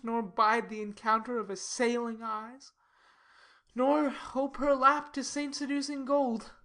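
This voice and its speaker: A woman's voice: on the high side, fairly bright tone. A woman playing a lovesick, brooding young nobleman in a dramatic Shakespearean reading, with a sighing, melodramatic delivery.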